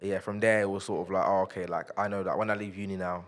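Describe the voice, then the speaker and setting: Young guy, very deep voice, easygoing interview guest. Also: fast. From South East London, England. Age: 20s. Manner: relaxed, laid-back, lots of "yeah, yeah" fillers. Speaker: podcast guest. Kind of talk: casual interview chat.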